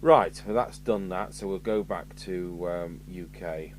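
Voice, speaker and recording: Low-voiced man over clear hum. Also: a little slow. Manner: matter-of-fact, thinking aloud while working. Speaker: radio repair vlogger. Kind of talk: hands-on workbench demonstration commentary. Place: indoor radio repair bench. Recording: noisy, with steady hum.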